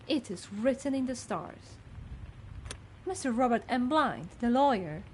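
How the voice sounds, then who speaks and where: A woman acts out a fussy older housekeeper from a video game. She is high-pitched, judgmental and gossipy, speaking with haughty primness. There is clear noise and ambience behind her. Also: lively intonation, unhurried, a little mellow. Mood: scornful, gossipy. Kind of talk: scripted game character dialogue.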